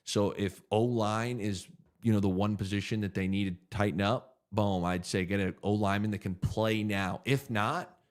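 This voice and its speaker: A man's voice: fairly low pitch, steady tone, normal speed. A man in his thirties hosting a casual livestream Q&A, laid-back and joking as he thinks aloud through viewer questions. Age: 30s. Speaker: podcast host answering viewer questions.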